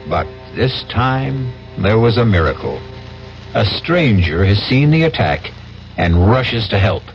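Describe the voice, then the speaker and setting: A man's voice: fairly low pitch, very animated, unhurried, soft background music. A man giving dramatic scripted narration as host of a 1970s radio drama. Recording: muffled 1970s radio recording.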